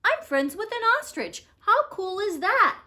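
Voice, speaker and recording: A woman's voice: very high voice, big pitch swings, measured pace, sharp tone, pitched above the performer's own voice, heavily acted. A woman voicing an eager, oblivious little male bird who talks in a chirpy, boastful way for a storybook. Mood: proudly boasting. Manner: chirpy, boastful, self-absorbed.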